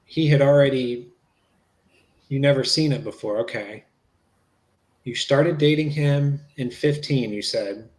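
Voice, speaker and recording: A man's voice: mid-range voice, a little slow, long pauses, close to an everyday voice. A man reading the part of a police interviewer in a dramatic transcript reading, asking conversational, matter-of-fact questions. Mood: probing, matter-of-fact.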